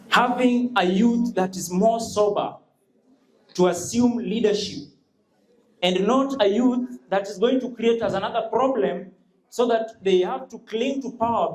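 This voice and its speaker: A man's voice: high-pitched, unhurried. Earnest young man debating in an echoey hall. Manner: earnest, quoting proverbs, pleading for time. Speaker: student debater. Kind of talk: formal debate speech.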